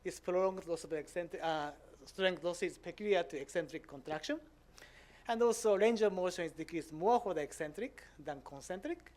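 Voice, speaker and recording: A man in his fifties from Japan, speaking English with a Japanese accent, giving a conference lecture with many "uh" pauses. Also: sits high, darkish tone. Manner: hesitant, frequent "uh" fillers, simple non-native grammar. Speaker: visiting professor giving a lecture.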